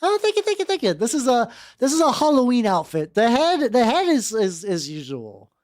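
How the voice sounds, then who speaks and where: A woman's voice: fairly high pitch, very animated, normal speed, a little mellow. A woman hosting a livestream, chatting casually and reading aloud, often stammering, repeating words and breaking off to comment. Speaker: vtuber streamer host. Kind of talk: casual livestream chat mixed with reading fan fiction aloud. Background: background music.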